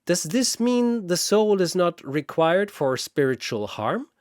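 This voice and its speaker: A man's voice: high-pitched, very animated, slow pace, a little mellow, dry recording, clean studio sound, pitched above the performer's own voice, full character voice. A man voicing a polite, analytical android officer who speaks precisely and formally in a science fiction story. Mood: detached curiosity.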